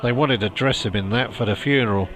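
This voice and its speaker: A man's voice: lowish voice, fast, fairly bright tone, natural voice. A man voicing a callous, thieving old charwoman in a storybook reading, brazen and dismissive. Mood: brazen scorn. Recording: music behind the voice.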